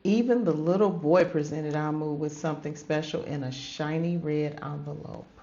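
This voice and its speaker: A woman reading a children's story aloud as host, with dramatic, drawn-out phrasing in a grandmotherly manner. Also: sits low, fairly even intonation, warm tone. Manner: addresses listeners as babies, grandmotherly. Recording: dull lo-fi audio with music behind. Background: background music.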